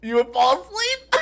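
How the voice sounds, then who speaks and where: Man, live streamer, casual chat, playful, laughs a lot, very animated pitch, slow delivery. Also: gravelly. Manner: laughs often, playful and joking.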